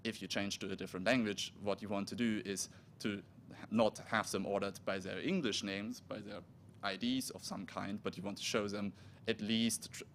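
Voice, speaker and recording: A man whose first language is German gives a technical talk at a conference in a fairly low voice. He speaks haltingly, breaking off words and starting over. A faint rumble sits under the recording. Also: fairly even intonation, fairly bright tone. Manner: halting, with restarts and self-corrections. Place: conference room.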